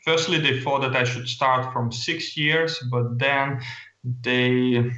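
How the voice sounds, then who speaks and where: A man's voice: mid-range voice, fairly even intonation, takes it slowly, pushed and bright, echoey room, muffled recording. A man from Lithuania with a Lithuanian accent, a radio guest speaking English hesitantly and searching for words. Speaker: interview guest.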